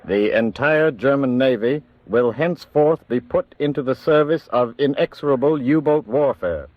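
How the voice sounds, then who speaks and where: A man narrating a wartime radio documentary drama in a measured, authoritative 1940s style. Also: mid-range voice, dry recording, dull audio.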